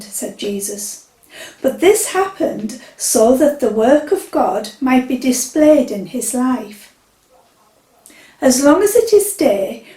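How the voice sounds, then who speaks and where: A woman reading a Bible passage at a church service, in a measured, expressive way. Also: sits high. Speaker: scripture reader. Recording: echoey church from a distance.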